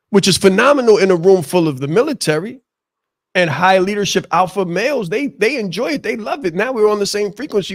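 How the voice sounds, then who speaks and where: A man with a naturally loud, projecting voice, coaching on a video call with passion and emphatic repetition. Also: sits high, no room sound, studio quality. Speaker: leadership coach. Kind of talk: motivational talk on a live show.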